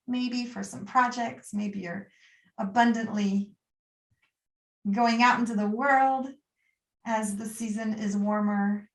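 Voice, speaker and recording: A woman leading a guided meditation as a yoga nidra teacher, speaking soothingly and gently in short, unscripted phrases with pauses between them. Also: on the high side, echoey room, distant mic, poor audio.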